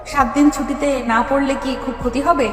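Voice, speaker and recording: Casting: gentle, faintly sulky wife, played by a woman, high voice, echoing room, soft music underneath. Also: fairly even intonation, moderate pace, close to an everyday voice. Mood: affectionate. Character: affectionate young wife, slightly sulky, gentle. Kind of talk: audio story drama. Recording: echoey reverberant hall, faint music underneath.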